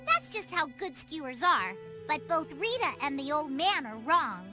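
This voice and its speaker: A woman's voice: high-pitched, sing-song, unhurried. A woman voicing a spirited, cocky young pirate girl in a video game. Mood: confident. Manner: pirate-style exclamations, bold. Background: background music.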